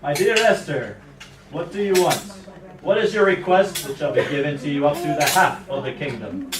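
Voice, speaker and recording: A man's voice: a little high, lively intonation, moderate pace, natural voice. Echoey, casual, genial puppet king, played by a man. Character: easygoing, generous biblical king with casual modern manner. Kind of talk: amateur puppet show acting. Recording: echoey room, distant mic, clattering noise.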